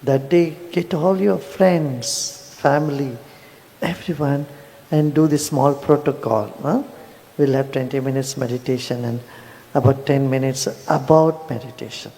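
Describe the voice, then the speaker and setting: A man leading a guided meditation, then chatting with a crowd in a soft, slow manner with small "huh?" asks. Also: mid-range voice, fairly even intonation, vocal fry. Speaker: meditation teacher. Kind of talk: guided meditation and public address.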